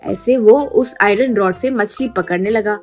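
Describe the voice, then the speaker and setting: A woman's voice: medium pitch, measured pace. Woman narrating a story over music.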